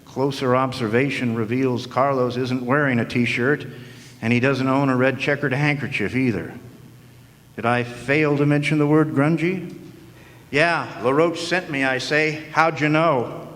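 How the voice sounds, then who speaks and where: A man's voice: medium pitch, steady tone, measured pace, a bit bright. A man narrating as a wry, sardonic hard-boiled detective in the style of 1940s noir radio drama. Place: historic club hall, live audience performance. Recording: long echoing hall reverb.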